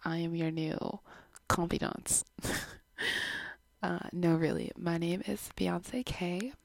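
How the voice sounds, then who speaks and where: A woman's voice: on the low side, fairly even intonation, takes it slowly, vocal fry, darkish tone, no echo. A woman in her twenties from Canada, hosting her own podcast in a chatty, giggly, self-deprecating way, recorded at home on her own microphone. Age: 20s. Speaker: podcast host. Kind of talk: casual chat.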